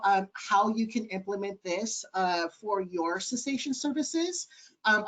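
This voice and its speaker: A man with a light, high-sounding voice, answering webinar questions earnestly with many ums over a video call. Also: a bit bright, poor audio. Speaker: webinar panelist.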